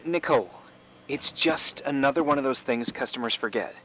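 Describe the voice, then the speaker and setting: A man's voice: mid-range voice, moderate pace, fairly bright tone, natural voice, low-quality recording. An actor playing a casual, wry and mildly skeptical man in naturalistic game dialogue, offhand and hesitant, a little evasive. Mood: puzzled, teasing.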